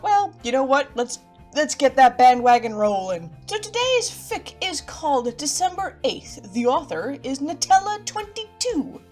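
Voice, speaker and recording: A woman with a high voice hosts an online video over clearly audible music. She chats straight to her viewers in a playful, animated style, leaning on her words with bubbly emphasis. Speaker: video host. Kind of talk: bubbly YouTube chat to viewers. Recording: music behind the voice.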